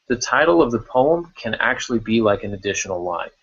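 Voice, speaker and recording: A man's voice: medium pitch, very animated, fairly quick, fairly bright tone, breathy edge, lo-fi sound. A man hosting a writing podcast in casual unscripted talk, thinking aloud and repeating words as he goes. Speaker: podcast host discussing writing craft.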